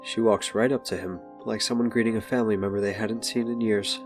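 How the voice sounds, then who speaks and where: A man's voice: lowish voice, steady tone, fairly quick, music in the background, natural voice. A man narrating a scripted superhero audio drama. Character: narrator of a superhero adventure story.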